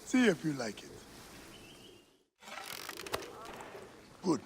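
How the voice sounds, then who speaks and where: An actor playing a worldly, concerned village helper in a video game, frank and wry in manner. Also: male voice, on the high side, very animated, fast talker, vocal fry, noisy background. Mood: friendly. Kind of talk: dramatic video game acting.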